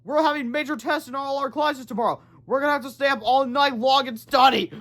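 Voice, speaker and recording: A man voicing a frantic schoolboy in a children's storybook, crying out in a high, exclamatory voice. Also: fairly even intonation, brisk, fairly bright tone. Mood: alarmed. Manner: shrieking, exclamatory. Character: panicky, frantic schoolboy pals, wailing and shouting. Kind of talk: children's storybook read-aloud.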